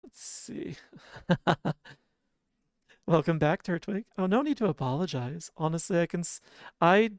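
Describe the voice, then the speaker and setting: A game streamer with a sleepy-sounding voice, chatting casually and softly with viewers, often chuckling and humming idle filler tunes. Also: mid-range voice, expressive, slow pace, pauses often, dry recording, studio quality. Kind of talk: casual livestream chat.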